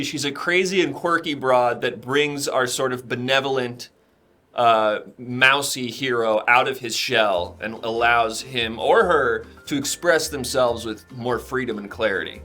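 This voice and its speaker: Man as a dry, patient casting director, sharp bright voice. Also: medium pitch, unhurried, soft background music. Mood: deadpan. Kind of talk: improvised comedy acting.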